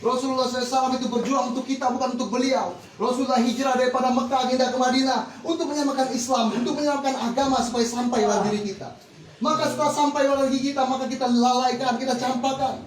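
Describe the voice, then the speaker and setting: A man's voice: extremely high pitch, fairly even intonation, rapid-fire, slight background noise. A man preaching a fervent, rhythmic sermon to a congregation, repeating set phrases. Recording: echoey hall recorded from far off.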